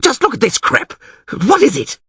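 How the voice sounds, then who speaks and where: A male narrator puts on a blustering fantasy town mayor, bossy and exasperated. The pitch often jumps high and swings widely, in a dry storybook recording. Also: wildly swooping pitch, moderate pace, sharp tone, slightly rough, dry recording, exaggerated voice. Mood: irritated alarm.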